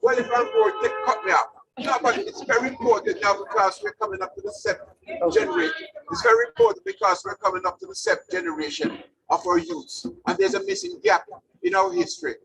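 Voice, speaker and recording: Gravelly older man, rambling speech. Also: very high voice, huge pitch swings, fast, crowd noise. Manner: rambling, repeats himself, jokes. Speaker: award recipient. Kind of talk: acceptance speech. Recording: distant mic in a hall.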